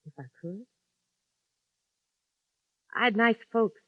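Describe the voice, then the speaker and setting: Brief: a scared, worn-down young western woman, high and very expressive, dull vintage sound. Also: takes it slowly, no room sound. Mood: confiding. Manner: urgent, pleading. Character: frightened young saloon woman, worn down and lonesome. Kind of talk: 1950s radio drama acting. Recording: dull lo-fi 1950s radio recording.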